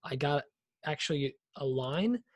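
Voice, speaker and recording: A man co-hosting a casual podcast, talking through his workshop projects in a relaxed way, thinking aloud with fillers. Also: on the high side, measured pace, no echo, clean studio sound. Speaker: podcast co-host. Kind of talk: casual chat.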